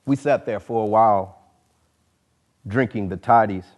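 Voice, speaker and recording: A man giving a formal literary reading, speaking in deliberate, oratorical phrases with emphasis on each one. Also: fairly low pitch, lo-fi sound. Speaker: dramatic reader. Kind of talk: formal literary introduction and narration.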